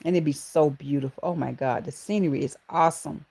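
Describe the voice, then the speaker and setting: A woman's voice: sits low, a little fast, vocal fry, slightly rough. Southern woman hosting a chatty livestream. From Georgia, US. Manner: affectionate, calls viewers baby and honey, animated exclamations. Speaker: livestream host. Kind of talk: casual live chat greeting viewers.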